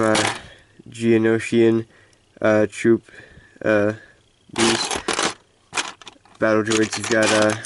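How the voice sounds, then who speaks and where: A man with a congested voice giving a casual, halting, unscripted show-and-tell of a collection. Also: on the low side, background noise. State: stuffed-up, congested voice. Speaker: hobby collector hosting a video.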